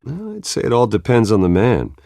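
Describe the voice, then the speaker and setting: An actor playing a guarded, brooding wealthy heir in a video game, terse and dry, with a gravelly, husky voice. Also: male voice, mid-range voice, wildly swooping pitch, fairly quick, mellow, dry recording. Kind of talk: video game dramatic acting.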